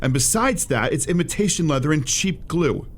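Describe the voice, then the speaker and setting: A man's voice: a little high, expressive, moderate pace, slight background noise, lightly acted. A man playing an earnest, lovestruck young shop clerk in a radio play, eager and frank, with hesitant stammers. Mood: frank, stubborn.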